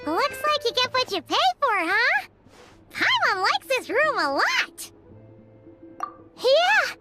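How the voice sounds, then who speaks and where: A woman voicing a small, childlike fairy companion with a squeaky, chirpy, fretful and chatty voice in a video game cutscene. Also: exaggerated voice. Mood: cheerful. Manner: chirpy, refers to herself in third person. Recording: music playing behind the voice.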